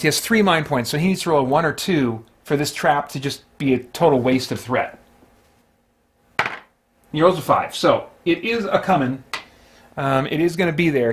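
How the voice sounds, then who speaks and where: Man thinking aloud over a board game. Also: a little high. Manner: deliberating, talking himself through rules and choices. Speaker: host playing and narrating a solo tabletop board game. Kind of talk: casual play-by-play, thinking aloud.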